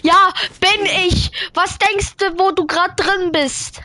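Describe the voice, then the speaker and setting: A high-pitched woman chatters excitedly while streaming a game, reading viewer messages aloud. Faint noise and clicking sit behind her voice. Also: slow pace. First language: German. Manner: excitable, reads chat aloud, laughs often. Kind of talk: casual live-stream gaming chat.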